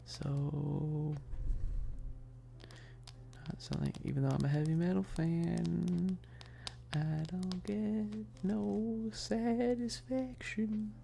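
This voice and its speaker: A livestream host chatting casually and informally in a soft, close whispery ASMR delivery into a binaural mic, rambling with teasing asides to chat. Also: medium pitch, flat delivery, drawn-out words, gravelly, long pauses, a little hiss or hum. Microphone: close binaural microphone. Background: tapping and keyboard clicking.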